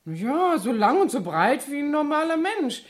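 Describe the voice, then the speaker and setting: A woman's voice: high-pitched, sing-song, moderate pace. An actress in a German radio play voicing a chatty, gossipy cleaning woman who likes to justify herself.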